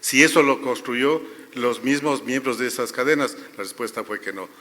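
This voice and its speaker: A man's voice: medium pitch, moderate pace, bright, forceful tone. A man speaking on a business panel, making critical, emphatic remarks with frequent restarts. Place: conference hall. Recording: reverberant event hall.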